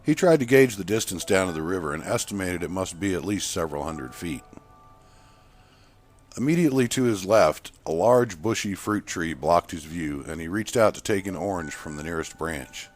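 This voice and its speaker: A man's voice: low-pitched, expressive, normal speed. A man narrating a scripted short story, with music playing behind him. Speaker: author and narrator.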